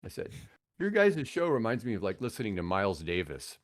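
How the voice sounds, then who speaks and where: A man's voice: fairly low pitch, huge pitch swings, a little fast. American man in his sixties, chatting casually. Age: 60s. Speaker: podcast guest, radio host. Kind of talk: casual chat, rambling storytelling.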